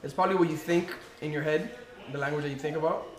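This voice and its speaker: A man's voice: on the high side, fairly even intonation, quick pace. A man answering an interview question in a musing, hedging way with fillers. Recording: echoey room with clear background noise.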